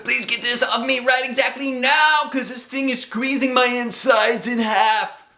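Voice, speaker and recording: A man voicing an excitable, stubborn little girl in a storybook, exclaiming dramatically and repeating herself. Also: extremely high pitch, measured pace, roomy sound, pitched above the performer's own voice, heavily acted. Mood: panicked.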